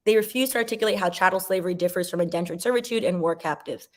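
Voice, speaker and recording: A woman in her forties casually chatting to viewers and reading comments, with animated emphasis and sudden jumps in pitch. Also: rapid-fire. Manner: talks to viewers directly, sips wine.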